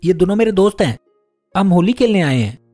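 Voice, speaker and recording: A man's voice: high-pitched, very animated, a little fast, mellow, soft background music, voice pushed up. A man voicing a confident, friendly young boy from a rich home in a story, insistent and inviting. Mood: assured.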